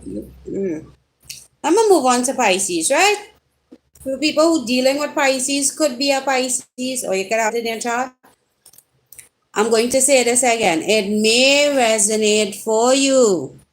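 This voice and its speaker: A woman's voice: on the high side, takes it slowly, long pauses. Chatty Trinidadian woman reading tarot. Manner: chatty, teasing viewers directly, rising exclamations. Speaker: tarot reader. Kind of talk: live-stream tarot reading, casual.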